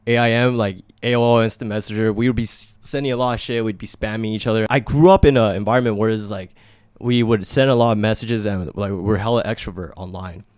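A man's voice: on the low side, steady tone, fast talker, a little mellow, no room sound. A young man hosting a podcast, chatting with friends in a casual, slangy way, swearing and laughing often. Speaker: podcast host.

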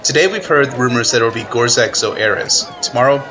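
A man acts as an analytical male correspondent in a fantasy army camp. He explains in a matter-of-fact way, with a medium-pitched voice. A clear outdoor crowd bustles behind him, as in a radio play. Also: a little fast, close to an everyday voice. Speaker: guest correspondent. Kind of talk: radio news report roleplay.

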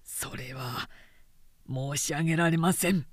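A woman's voice: sits low, no room sound, clean studio sound, pitched below the performer's own voice. A woman voicing a gaunt, dignified young samurai avenger in an audiobook, speaking formally and with grave restraint. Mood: grave, restrained. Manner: formal, measured samurai speech. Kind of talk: audiobook character dialogue.

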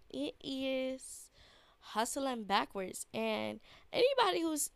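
A woman's voice: fairly high pitch, gravelly, no room sound. A young woman hosting a casual podcast, talking in a laid-back, slangy way with a congested voice from a sinus infection. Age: young adult. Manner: slow, laid-back slangy talk with fillers. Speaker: podcast host. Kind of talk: casual solo podcast talk.

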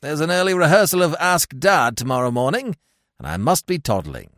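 A man's voice: a little high, very animated, a little fast, fairly bright tone, no echo, clean studio sound. A man voicing a carefree, foppish young upper-class man who giggles and chatters in breezy slang. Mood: chirpy.

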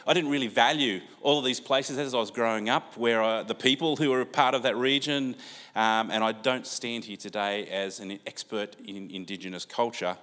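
An older Australian man giving a sermon in a relaxed, conversational way with many fillers, heard in a church hall with long reverb. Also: mid-range voice, moderate pace. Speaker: preacher.

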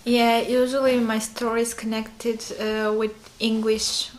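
Guest: young woman, higher voice, giggly, lots of "uh" and "um". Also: little pitch movement, unhurried, low-quality recording. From Armenia. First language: Armenian. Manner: laughs often, many fillers. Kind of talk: casual podcast chat.

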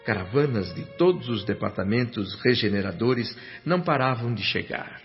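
An actor playing an earnest doctor recounting his afterlife in a radio drama, with measured, reverent, literary narration. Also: medium pitch, lively intonation, lightly acted. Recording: dull radio-drama recording with music.

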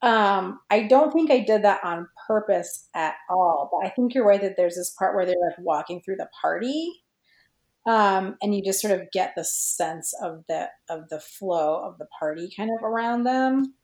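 A woman's voice: mid-range voice, expressive, moderate pace, darkish tone. A woman guest on a podcast, a fan fiction writer, talking casually and explaining things in an informal way. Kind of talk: casual discussion. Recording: roomy, echoey sound.

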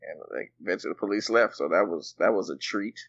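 A man's voice: sits low, fast talker, fairly bright tone, muffled recording. A man co-hosting a podcast, telling a story with enthusiasm and slang. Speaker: podcast co-host. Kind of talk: casual chat.

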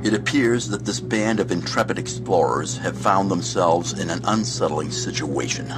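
Guy doing a low spooky narrator, wry n measured, eerie anthology intro with loud music. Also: close to an everyday voice. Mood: ominous, wry. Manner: measured, portentous, clipped.

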